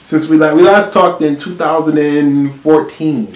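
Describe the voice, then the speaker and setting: A man hosting a casual interview, relaxed and often repeating words as he shapes his questions. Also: a little high, a little slow, roomy sound. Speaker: interview host.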